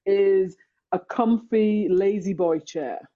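A woman from England with an English accent, a podcast guest talking things through slowly and thoughtfully with metaphors. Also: medium pitch, dull audio. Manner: slow, thoughtful, uses metaphors. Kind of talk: reflective conversation.

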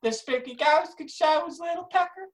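A woman playing a crude, shameless plumber man who talks brashly and shouts his lines. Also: high voice, normal speed, lightly acted.

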